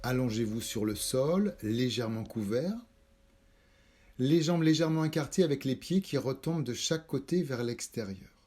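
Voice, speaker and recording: A man leading a guided yoga nidra meditation, calm and measured, instructing in short spaced phrases. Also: medium pitch, slightly rough. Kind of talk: slow guided relaxation meditation.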